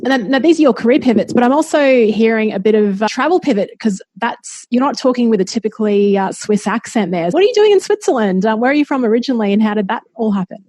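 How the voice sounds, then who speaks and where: A woman's voice: on the high side, expressive, fast talker, hardly any pauses, warm tone, no echo. Woman podcast host, dry sound. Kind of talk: interview.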